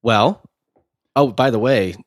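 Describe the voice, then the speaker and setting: A man's voice: medium pitch, no echo. A man in his thirties co-hosting a movie podcast, bantering casually with jokes and fillers, still getting over a cold. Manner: quick jokey banter, filler words. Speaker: podcast co-host. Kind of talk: casual chat.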